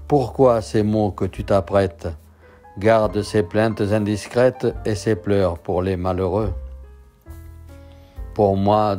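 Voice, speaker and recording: A man reads poetry in a measured, deliberate literary recitation. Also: fairly low pitch, darkish tone. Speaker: poetry reader. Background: instrumental music.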